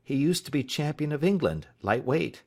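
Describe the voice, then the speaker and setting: Brief: male reader as a hesitant, polite teenage schoolboy, a touch higher. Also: expressive, moderate pace, darkish tone. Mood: nervous. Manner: hesitant, polite, saying sir.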